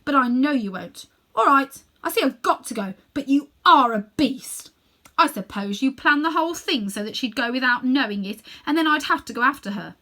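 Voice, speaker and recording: Woman as a fierce, higher-pitched indignant schoolboy. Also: animated, moderate pace, sharp tone, heavily acted. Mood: angry, resolute. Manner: blunt, accusing, emphatic. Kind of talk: children's storybook reading.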